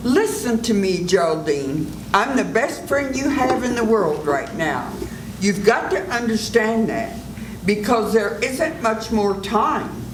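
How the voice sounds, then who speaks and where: An older woman playing a commanding male doctor in a radio play reading, with a measured, stern delivery. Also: on the high side, expressive. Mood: urgent. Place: senior center event room, live reading. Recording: echoey room with audible noise.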